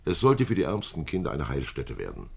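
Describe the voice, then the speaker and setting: An actor in a 1950s German radio drama playing a blunt, unflappable, pipe-smoking police commissioner who talks in a laconic, deliberate way. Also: male voice, extremely low pitch, wildly swooping pitch, gravelly, slightly rough, exaggerated voice. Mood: deliberate, matter-of-fact.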